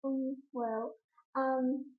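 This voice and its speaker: A teenage girl with a high voice answers speaking-exam questions, hesitating and filling pauses often. The sound is thin and phone-like, with noticeable room echo. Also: level tone. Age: teens. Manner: hesitant, many pauses and fillers. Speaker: exam candidate. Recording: echoey, tinny phone-like sound.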